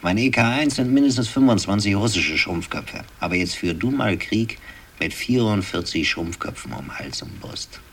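An actor playing a bitter, cynical decorated soldier in a sardonic, brooding radio drama monologue, on a noisy old recording. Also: male voice, on the low side, expressive, normal speed, slightly rough. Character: bitter, cynical war-decorated soldier, lover of a young woman. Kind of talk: 1960s German radio drama acting.

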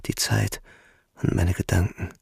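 An actor playing a weary, haunted young German soldier who narrates his war in a radio play, with a gravelly voice. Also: deep voice, little pitch movement, unhurried, darkish tone, no room sound, studio quality, exaggerated voice. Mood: haunted, grieving. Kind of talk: literary radio play narration in first person. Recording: music under the voice.

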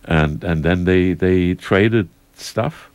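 A man from Germany co-hosting a casual radio chat, halting and repeating words, laughing easily. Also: low-pitched, a little mellow, dry recording. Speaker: radio co-host.

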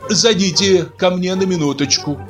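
A man's voice: high-pitched, normal speed, fairly bright tone, soft background music, pitched above the performer's own voice. A man voicing an anxious, sentimental middle-aged woman fortune-teller who pleads in a fluttery way. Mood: pleading.

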